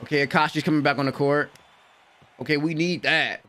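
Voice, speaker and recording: A young American man giving excited, slangy, exclamatory live reaction commentary at a close desk mic in a room at home. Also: on the high side, brisk, slight background noise. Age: young adult. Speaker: reaction video host. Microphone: close desk microphone.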